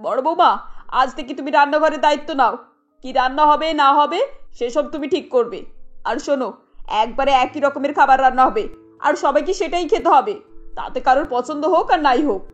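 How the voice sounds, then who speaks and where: Woman voicing an exasperated, high-pitched bossy mother-in-law. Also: moderate pace, muffled recording, soft background music, lightly acted. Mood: bossy, firm. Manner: scolding, commanding.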